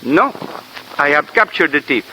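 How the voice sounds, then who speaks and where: Old radio cop sergeant, smug n stubborn, higher male voice, stilted english, noisy recording. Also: big pitch swings, unhurried. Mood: smug, cool. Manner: stilted English, clipped, self-satisfied. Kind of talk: 1950s radio drama acting.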